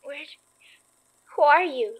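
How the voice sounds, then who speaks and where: A woman's voice: high voice, expressive, slow, narrow, tinny audio. A woman playing an anxious but plucky young investigator in a horror audio drama, speaking in a hesitant, nervy way. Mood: frightened.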